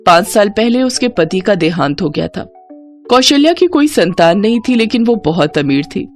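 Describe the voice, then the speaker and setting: A woman narrating a scripted story in a dramatic, expressive storytelling manner. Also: medium pitch, normal speed. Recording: music bed under the voice. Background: background music.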